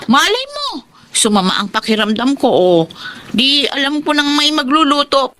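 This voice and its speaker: A woman's voice: high voice, very animated, moderate pace, sharp tone, a little hiss or hum, heavily acted. A woman playing a bossy, spiteful housemaid in a radio drama, snappish and taunting, with a Filipino accent. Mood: bossy, irritated. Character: bossy, spiteful housemaid woman pulling rank over newcomer.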